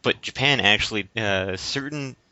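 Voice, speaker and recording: A man's voice: on the low side, normal speed, sharp tone, no room sound. A man co-hosting a podcast, explaining his views casually in a hesitant, roundabout way. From United States. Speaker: podcast co-host. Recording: dull lo-fi audio.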